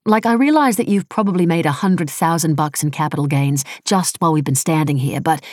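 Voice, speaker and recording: A woman's voice: deep voice, a little fast, a little mellow, dry recording, studio quality. A woman voicing a defensive, scornful, foul-mouthed woman in an audiobook, blunt and sarcastic with casual phrasing. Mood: earnest, frustrated.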